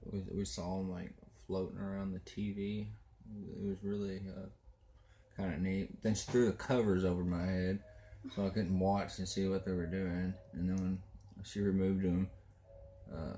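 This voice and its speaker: A man's voice: sits low, fairly even intonation, a little slow, dark tone, slight background noise. A man from Texas with a Texan accent, chatting casually and joking dryly, with many hesitations. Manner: dry, joking, hesitant with fillers. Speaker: partner of the host. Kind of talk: casual chat.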